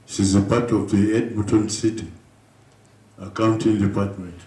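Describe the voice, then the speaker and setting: Older man, low voice, earnest halting speech, echoey hall, distant mic. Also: little pitch movement, warm tone. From Uganda. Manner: earnest, halting storytelling with restarts. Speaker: guest speaker. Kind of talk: community speech. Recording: echoey room from far off.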